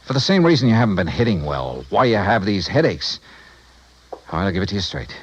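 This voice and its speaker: An actor playing a tough, straight-talking insurance investigator in a 1950s radio detective drama, clipped and insistent. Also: male voice, fairly low pitch, big pitch swings, brisk, slightly rough, poor audio. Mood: blunt, firm. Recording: vintage radio drama recording.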